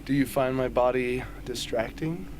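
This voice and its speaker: A man's voice: mid-range voice, steady tone, moderate pace, close to an everyday voice. A man acting a playful, vain young warrior in an amateur radio drama. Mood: teasing. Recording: noisy hobby recording, slightly distant.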